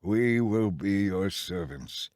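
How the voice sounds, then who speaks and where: Casting: male actor as sly ancient envoy posing as weary traveler, humble, pleading, deepish, dry biblical audio drama. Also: expressive, takes it slowly, darkish tone, no echo. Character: Gibeonite envoys, deceitful humble travelers seeking a treaty.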